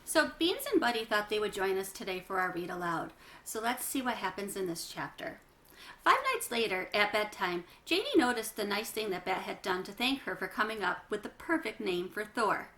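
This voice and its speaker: Animated, bright-voiced woman reading a storybook. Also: medium pitch, moderate pace. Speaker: children's read-aloud reader. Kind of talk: storybook narration with chatty asides to young listeners.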